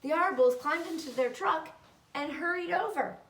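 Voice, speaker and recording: A woman's voice: very high-pitched, moderate pace, fairly bright tone, a little hiss or hum. A woman reading a children's story aloud as narrator. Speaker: storybook reader. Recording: roomy echo, slightly distant mic.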